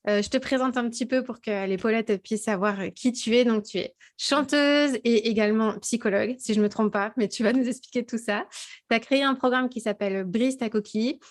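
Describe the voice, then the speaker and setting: A woman from Alsace hosting an interview, enthusiastic and full of quick affirmations and hesitant fillers. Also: fairly high pitch. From Alsace, France. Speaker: host. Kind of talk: casual interview chat.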